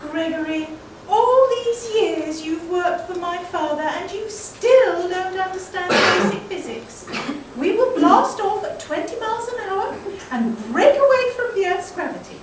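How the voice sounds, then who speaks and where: Echoey distant recording, woman acting very high Victorian daughter, slow, haughty, exclamatory, comic radio play. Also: lively intonation. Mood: condescending. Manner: condescending, exclamatory. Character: eager, haughty Victorian professor's daughter, confident in nonsense science. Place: live convention performance in an echoey room. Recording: roomy echoing hall, distant mic, faint noise.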